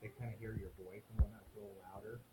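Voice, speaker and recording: A man working as a security officer, making a mild, polite and hesitant request in person, sounding quiet and distant. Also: on the low side, little pitch movement, rapid-fire. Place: public building near classrooms. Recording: quiet, distant voice under clear noise.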